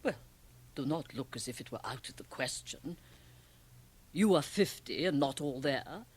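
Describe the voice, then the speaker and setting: A woman's voice: very deep voice, expressive, unhurried, darkish tone, husky, full character voice. A woman playing a grotesque, sly European magic-show performer in a radio drama, teasing and provocative in a theatrical manner. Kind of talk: 1980 radio drama acting. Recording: 1980 radio drama, home cassette transfer.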